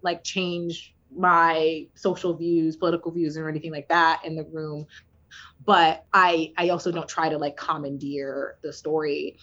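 A woman's voice: fairly low pitch, fairly bright tone. A woman TV writer talking candidly in a casual interview, with many fillers and a few laughs. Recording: dull lo-fi audio.

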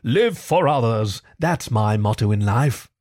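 A man voicing a boastful, excitable male toad from a storybook, with a pompous, gushing, theatrical manner. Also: mid-range voice, measured pace, no echo, clean studio sound. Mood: smoothly persuasive. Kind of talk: storybook character acting.

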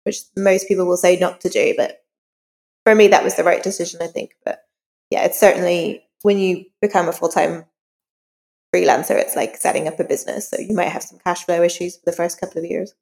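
A woman's voice: on the low side, flat delivery, a little fast, vocal fry, a little mellow. A woman who is an author, speaking candidly and informally as an interview guest, reflecting as she talks. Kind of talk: casual interview conversation. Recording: long reverberant room sound.

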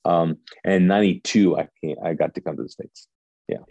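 A man who was born in Kuwait and whose first language is Urdu, telling his life story as a podcast guest in a lively way and acting out past conversations. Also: low voice, brisk, no room sound, lo-fi sound. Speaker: guest pastor.

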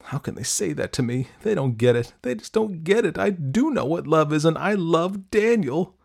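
A man's voice: fairly high pitch, sing-song, measured pace, a little mellow, voice pushed up, full character voice. A man voicing a lovestruck, defiant seventeen-year-old girl in an audiobook, emotional and emphatic. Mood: hurt, insistent. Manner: dramatic, emphatic. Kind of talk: audiobook character acting.

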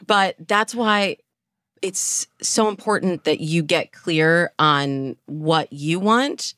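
A woman's voice: on the low side, takes it slowly, a bit bright, no echo, clean studio sound. A woman speaking as a dating coach and interview guest, explaining her advice conversationally with illustrative example phrases.

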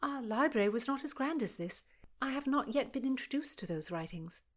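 A woman voicing a careful, modest young gentlewoman, speaking quick and polite. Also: expressive. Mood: earnest. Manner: higher and lighter, quick and polite.

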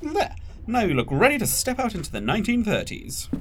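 An actor playing a courteous, reassuring gentleman attendant in an audio drama, speaking politely and formally with gentle concern. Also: high voice, huge pitch swings, brisk, a little hiss or hum. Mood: courteous, pleased.

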